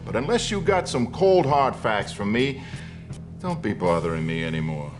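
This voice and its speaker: A man acts out a hard-nosed noir game detective with a mid-pitched voice that swings around as he talks. He is brusque, doubting and full of threats, with music playing behind him. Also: big pitch swings, moderate pace, lightly acted. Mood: dismissive. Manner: brusque, dismissive, streetwise tough talk. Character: gruff skeptical male LAPD police detective, threatening. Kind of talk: video game noir dialogue acting.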